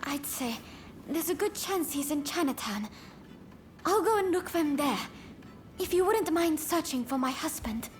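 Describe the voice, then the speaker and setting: A woman voicing a gentle, worried young wife in samurai-era Japan for a video game, polite, soft-spoken and earnest. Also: sits high, lively intonation, normal speed, faint music behind. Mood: pleading, earnest. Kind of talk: dramatic video game voice acting.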